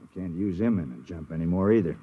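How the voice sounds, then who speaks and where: An actor playing a gruff, plain-spoken spaceship medic with a husky voice in a 1950s radio drama. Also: male voice, deep voice, animated, fast talker, a little hiss or hum. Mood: matter-of-fact. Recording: dull old radio broadcast recording.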